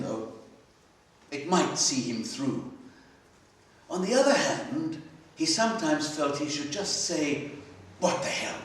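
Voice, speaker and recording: A man's medium-pitched voice reads a short story aloud to a room, heard from a distance in a very echoey hall. His delivery is measured and expressive, with deliberate pauses between phrases. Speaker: story reader at a literary festival. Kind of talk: live dramatic reading of literary fiction. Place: library hall, live festival reading. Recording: very echoey hall, picked up from afar.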